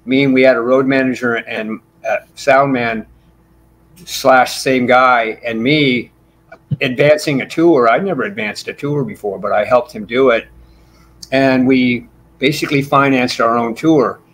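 A man in his sixties, a bassist guest telling long rambling stories in a casual interview over a video call from a small home room. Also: a little slow, a bit bright. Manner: talkative, rambling storyteller. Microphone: video call with desk mic.

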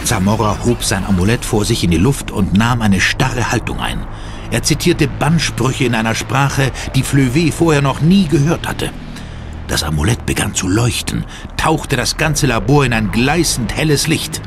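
A man's voice: mid-range voice, animated, moderate pace, creaky, background noise. A man narrating a horror audio drama as a scripted third-person storyteller. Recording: dramatic music underneath.

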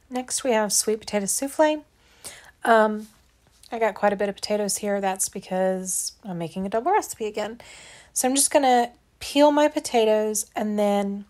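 A woman's voice: medium pitch, a little mellow. A woman narrating her home cooking in a chatty, plainspoken way, with frequent ums and asides. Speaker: home cook and channel host. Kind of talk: casual cooking voice-over. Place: home kitchen.

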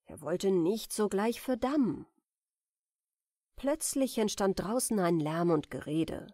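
A woman softly and deliberately narrating a fairy tale as a bedtime audiobook. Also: mid-range voice, dry recording, clean studio sound. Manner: soft, deliberate, expressive. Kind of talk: gentle bedtime story reading.

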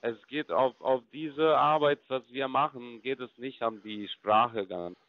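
A man's voice: mid-range voice, unhurried, no echo, narrow, tinny audio. Man in broken German on a dry line. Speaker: call center agent. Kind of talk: sales phone call.

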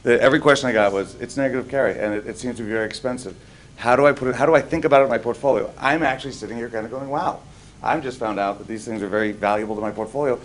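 A man's voice: mid-range voice, racing, rough recording. A middle-aged man from the United States moderating a finance panel from a lectern, chatty and self-deprecating. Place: conference room at a lectern.

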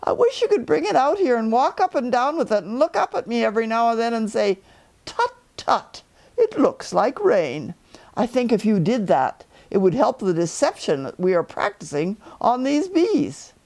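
Lady doing a little worried bear from a kids storybook, high and very up-and-down, bit pompous. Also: sing-song, normal speed, darkish tone. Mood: secretive, earnest. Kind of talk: children's storybook read aloud.

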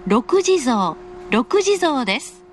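High-pitched woman making formal train announcements. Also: sing-song, slow pace. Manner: polite, formal, carefully enunciated. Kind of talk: recorded in-car station announcement. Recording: faint train noise. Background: train car ambience.

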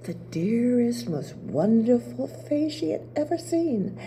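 Older female narrator: hushed, near-whispered, slow, mellow. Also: fairly high pitch, very animated, a little hiss or hum. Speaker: playwright reading her play. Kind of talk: story narration at a play reading. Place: small theater stage with audience. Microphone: table mic.

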